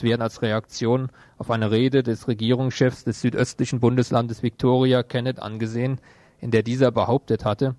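A man's voice: mid-range voice, a little mellow, dry recording. A man presenting radio news, reading written reports in an informal programme. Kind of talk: read news bulletin with brief informal asides.

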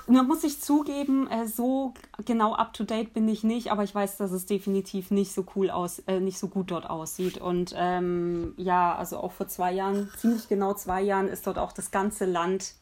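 Thoughtful woman with a lower voice. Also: darkish tone. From Germany. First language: Polish. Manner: thoughtful, many fillers and restarts. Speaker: musician. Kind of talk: casual interview answers.

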